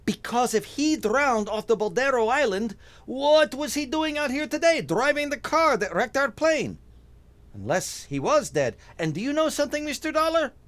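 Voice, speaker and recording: Very animated wily sidekick. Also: male voice, extremely high pitch, normal speed, full character voice. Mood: excitable, indignant. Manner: theatrical, wheedling, excitable broken English. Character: wily, flattering, money-grubbing male local sidekick. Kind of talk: 1950s radio drama acting.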